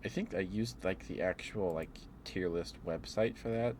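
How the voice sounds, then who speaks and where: Guy doing asmr stream chat, kinda low voice, playful rambling, pretty noisy background. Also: moderate pace, a little mellow. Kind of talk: ASMR, casual rambling chat to live viewers.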